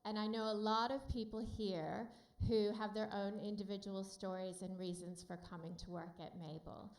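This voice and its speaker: A woman with an American accent giving a friendly, loud conference talk with many fillers to a room of support workers. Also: mid-range voice, fairly even intonation, normal speed, roomy sound. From United States. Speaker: company speaker at a summit. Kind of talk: conference presentation.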